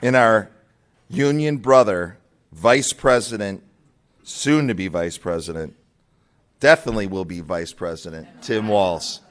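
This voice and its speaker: A man's voice: lowish voice, a little slow, slight background noise. A man giving a rousing labor speech at a political breakfast, lifting his voice on key words. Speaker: labor leader speaking at a party breakfast. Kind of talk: rally speech.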